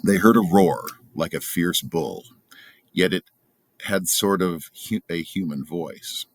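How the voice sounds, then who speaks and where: A man narrates a storybook in an extremely deep voice with a creaky edge. He reads aloud, and the recording is dry and close. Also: takes it slowly, no room sound. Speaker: storybook reader.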